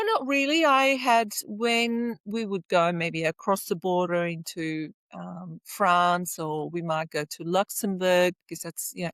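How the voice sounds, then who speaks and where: Woman from Flanders, native Flemish speaker, enthusiastic casual chat, slightly low voice, dry recording. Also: fairly bright tone, dry recording. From Flanders, Belgium. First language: Flemish Dutch. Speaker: podcast guest, tourism lecturer.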